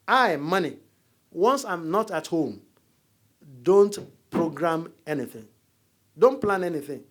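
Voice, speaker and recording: A middle-aged Nigerian man with a Nigerian accent, giving a university lecture as a diplomat, telling stories and jokes to the audience. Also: fairly high pitch. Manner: storytelling, animated, jokes with audience.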